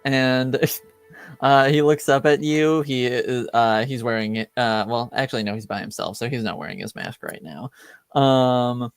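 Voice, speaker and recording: A man narrating a tabletop game as game master in a casual, improvised, halting way. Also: mid-range voice, fairly even intonation, clean tone, no echo, soft background music.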